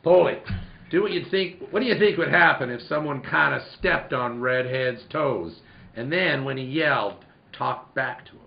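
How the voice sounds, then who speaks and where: A man playing a scheming, bullying outlaw boss in a radio western, curt and domineering with a gravelly voice. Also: mid-range voice, normal speed, close to an everyday voice. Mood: scheming, bossy. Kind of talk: 1940s radio western acting. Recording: roomy, dull lo-fi recording.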